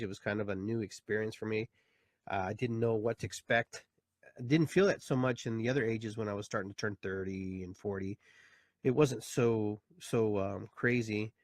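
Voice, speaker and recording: A man's voice: medium pitch, dry recording, clean studio sound. A man in his fifties hosting his own show, talking casually and reflectively, full of fillers and restarts. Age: 50s. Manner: rambling, reflective, with many fillers. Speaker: host and therapist. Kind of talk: casual live talk show monologue.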